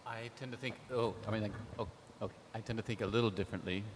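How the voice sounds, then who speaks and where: A man talking as a literary translator on a panel, thoughtful and hesitant, with many pauses and ums. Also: fairly low pitch, fairly even intonation, slight background noise. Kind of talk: panel discussion. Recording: echoey hall.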